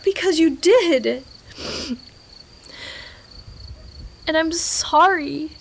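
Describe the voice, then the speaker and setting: A woman playing a guilt-ridden young woman in an audio drama, speaking in an emotional, halting, confessional way. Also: very high voice, gravelly, a little hiss or hum, exaggerated voice. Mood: remorseful. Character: Mags, guilt-ridden, anguished young woman, former best friend. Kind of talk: naturalistic audio drama acting.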